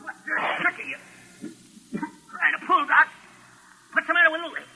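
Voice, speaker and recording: An actor playing a brash, jeering gangster thug in a 1940s radio crime drama. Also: male voice, very high voice, steady tone, normal speed, creaky, pushed and bright, heavily acted. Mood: alarmed, bewildered. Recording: noisy vintage 1940s radio broadcast.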